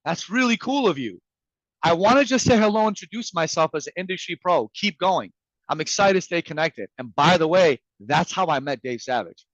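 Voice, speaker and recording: A man whose first language is Farsi pitches sales tips with punchy emphasis, playing out sample messages as he goes. His voice sits fairly high for a man. The sound is dry and a little muffled, as over a video call. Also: moderate pace, dry recording. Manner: energetic, emphatic, acts out quoted messages. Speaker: guest speaker. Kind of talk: motivational sales presentation.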